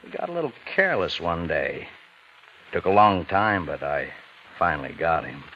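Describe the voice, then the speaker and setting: Sly folksy old-radio detective, low voice, casual needling, muffled recording. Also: male voice, big pitch swings. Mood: quietly taunting. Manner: casual, deliberate, knowingly insinuating. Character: sly, folksy, patient investigator needling a suspect. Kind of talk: 1940s-50s radio acting. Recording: muffled vintage radio recording, faint noise.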